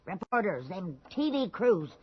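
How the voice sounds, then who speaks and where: An actress playing a shrewd, bossy small-town storekeeper with a folksy, insistent way of talking in a radio drama. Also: female voice, mid-range voice, sing-song, a little slow. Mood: scolding, anxious. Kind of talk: 1980s radio drama acting. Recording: muffled old radio broadcast.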